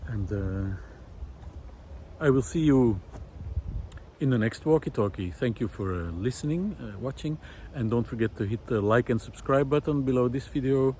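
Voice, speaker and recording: A middle-aged man musing aloud in a reflective, hesitant monologue with frequent pauses, recorded while walking outdoors. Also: mid-range voice, a little mellow. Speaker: visual artist and vlog host. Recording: outdoor ambient noise.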